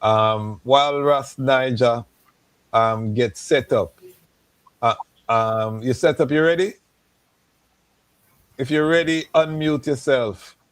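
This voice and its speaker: A man's voice: mid-range voice, slow pace, long pauses, clean tone, no echo. A man hosting a live online show, who talks informally and conversationally, with frequent fillers. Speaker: host. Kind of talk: casual live interview.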